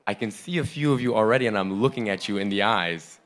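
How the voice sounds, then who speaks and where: A man's voice: on the low side, fast talker, a bit bright. A man telling an audience about a tour, in a chatty, rambling and enthusiastic way, speaking on stage into a handheld mic. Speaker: tour member giving a report on stage. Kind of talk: casual on-stage talk to a live audience. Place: large hall at a festival, on stage.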